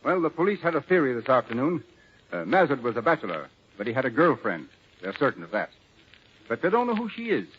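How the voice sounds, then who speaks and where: A man's voice: medium pitch, very animated, fast, close to an everyday voice. An actor playing an assured middle-aged lawman in 1940s radio drama, explaining things with wry confidence. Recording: muffled, noisy 1940s radio broadcast recording.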